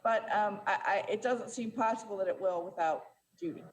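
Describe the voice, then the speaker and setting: A woman presiding over a city council meeting, speaking in a measured way with frequent fillers and restarts. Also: mid-range voice, slight background noise, rough recording.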